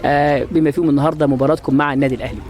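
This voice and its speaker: A man with an Egyptian accent interviewing players and parents outdoors at a youth football event, in cheerful, quick patter. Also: a little high, fairly even intonation, darkish tone. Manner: rapid, cheerful, blessing-filled patter. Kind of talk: upbeat on-site interview.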